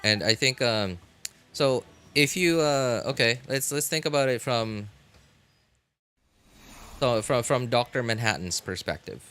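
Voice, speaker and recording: A man livestreaming a game, rambling casually and thoughtfully, often halting and repeating his phrases as he works out a thought. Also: medium pitch, animated, sharp tone, clear, resonant tone. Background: background music.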